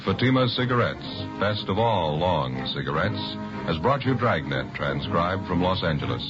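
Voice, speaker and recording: Brief: American male announcer, very low and stately, old-time radio formality, muffled broadcast. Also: big pitch swings, loud music behind the voice. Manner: solemn, measured, declamatory.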